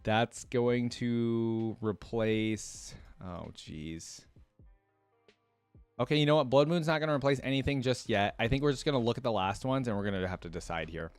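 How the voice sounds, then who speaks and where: A man's voice: medium pitch, moderate pace, smooth, dry recording, faint music behind. A man hosting a reaction video, giving casual commentary in an informal way, thinking aloud and often indecisive.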